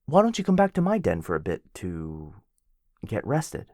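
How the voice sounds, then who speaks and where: A man's voice: on the low side, wildly swooping pitch, normal speed, a little mellow, dry recording, clean studio sound. Otto, a man voicing a cheesy flirty werewolf. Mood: playful.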